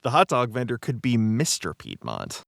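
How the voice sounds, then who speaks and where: Man, panel guest. Mid-range voice, very animated, insistent theorizer, casual talk. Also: mid-range voice, normal speed, vocal fry, dry recording, clean studio sound. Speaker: podcast panel member, cast of an audio drama. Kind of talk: casual chat.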